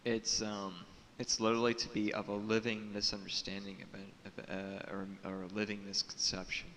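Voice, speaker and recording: A man giving an unscripted spiritual monologue to camera as a video blogger, speaking haltingly and solemnly in cryptic phrases, repeating words for emphasis. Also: lowish voice, monotone, a bit bright. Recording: echoey reverberant room with audible hiss.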